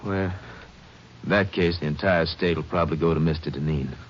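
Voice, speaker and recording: A man playing a formal, guarded attorney in a 1950s radio drama, measured and hesitant. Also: a bass voice. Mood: reluctant. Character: guarded, formal male attorney, reluctant to talk. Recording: muffled old radio recording, faint noise.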